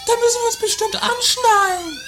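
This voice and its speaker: A woman playing an excitable, naive, scatterbrained castle dweller in an amateur comedy radio play, with melodramatic exclamations. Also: extremely high pitch, normal speed, noisy background. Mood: eager, cheerful. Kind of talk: amateur trash radio play, comic drama. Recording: loud music under voices, 1980s radio play.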